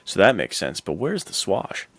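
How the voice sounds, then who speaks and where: A man's voice: sits low, wildly swooping pitch, moderate pace, fairly bright tone, breathy edge, no room sound. A man co-hosting a podcast in casual, slangy, enthusiastic chat. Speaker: podcast co-host.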